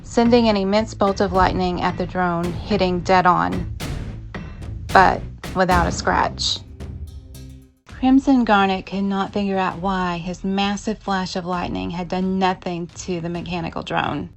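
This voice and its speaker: Low-pitched woman narrating an audio drama. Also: steady tone, a little slow. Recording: music behind the narration. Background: background music.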